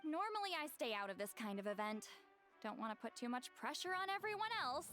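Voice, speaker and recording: A woman's voice: high voice, sing-song, a little fast, sharp tone, soft background music, heavily acted. A woman playing a proud, teasing, dignified elder in a video game, speaking with wry confidence. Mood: composed, serious. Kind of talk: video game character acting.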